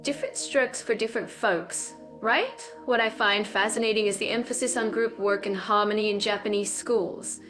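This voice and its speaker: A woman's voice: medium pitch, fairly even intonation, moderate pace, hardly any pauses, fairly bright tone, soft background music. A woman co-hosting a scripted podcast, chatting in an upbeat way with bubbly little interjections. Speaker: podcast co-host.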